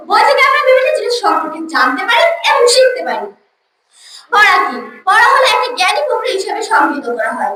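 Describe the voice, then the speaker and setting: A young schoolgirl giving a formal, declamatory, rehearsed debate speech. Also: extremely high pitch. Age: child or teenage school student. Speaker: school debate first speaker. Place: school debate event, room. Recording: echoey room, distant mic, noisy background.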